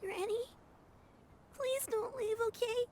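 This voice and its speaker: A woman's voice: very high voice, fairly even intonation, slow pace, crowd noise. An actress voicing a small, devoted young girl in a video game, plaintive and childlike. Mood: tearful, pleading. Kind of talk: scripted video game character dialogue.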